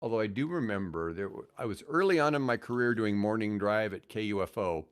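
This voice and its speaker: An older man with an American accent, telling rambling, animated anecdotes casually as a radio veteran on a podcast. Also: lowish voice, a little fast, smooth. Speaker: radio host and guest.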